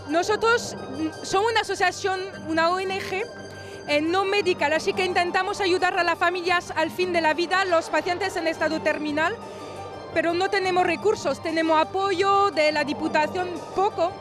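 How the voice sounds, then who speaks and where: A woman's voice: very high-pitched, fairly even intonation, normal speed, music in the background. A middle-aged French woman speaking non-native Spanish with a French accent, enthusiastic, interviewed at a handheld mic in a crowded gallery. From France. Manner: enthusiastic, slightly broken Spanish. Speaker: charity president. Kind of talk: street interview. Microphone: handheld reporter mic.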